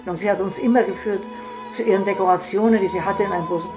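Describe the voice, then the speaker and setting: A woman's voice: mid-range voice, fast talker, music in the background. An older woman reminiscing wistfully in a personal, conversational way.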